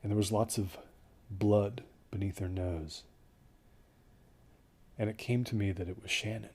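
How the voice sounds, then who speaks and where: An actor playing a dazed, delusional injured man recounting an ordeal in an audio horror drama, halting and detached, with hushed phrases. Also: fairly low pitch, steady tone, breathy edge. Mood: stunned, halting. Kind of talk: first-person audio horror drama monologue.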